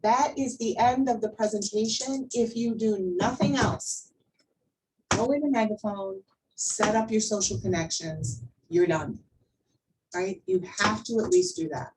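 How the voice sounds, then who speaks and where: A woman's voice: mid-range voice, unhurried. A woman leading an informal workplace class, talking conversationally and emphatically, checking in with the group and repeating words to drive points home. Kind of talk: informal training presentation with questions to the group. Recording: distant mic, faint background noise.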